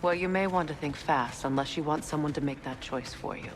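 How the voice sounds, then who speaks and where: An actress playing a proud, wounded exiled goddess in a video game, speaking in a measured, earnest, weighty way. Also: female voice, low-pitched, background noise. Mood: dry, guarded. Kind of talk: dramatic video game acting.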